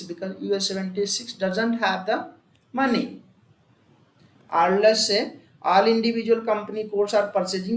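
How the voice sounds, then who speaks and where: Echoey Indian-accented instructor, sex unclear, teaching step by step. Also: mid-range voice. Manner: repetitive, emphatic step-by-step explaining with frequent check words. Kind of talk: software and accounting tutorial, explaining a worked example. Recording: echoey room with faint background noise.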